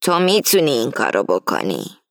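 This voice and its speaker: A woman reading as an older female gang leader who lays out a heist plan firmly and deliberately. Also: very deep voice, huge pitch swings, creaky, dry recording, pitched below the performer's own voice, exaggerated voice. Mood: scheming, instructing. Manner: firm, explaining slowly and deliberately.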